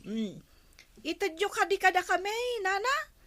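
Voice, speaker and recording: Sharp, nagging Ilocano village woman. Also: very high voice, sing-song, measured pace, full character voice. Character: nagging, sharp-tongued village woman minding store debts. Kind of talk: Ilocano radio comedy drama acting.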